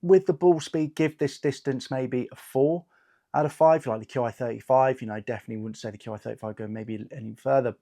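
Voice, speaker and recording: Croaky man chatting through a review. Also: mid-range voice, dark tone. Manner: chatty, lots of "you know" and "um", reads out numbers. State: croaky voice from a cold. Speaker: golf equipment reviewer, channel host. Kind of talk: casual product review talk.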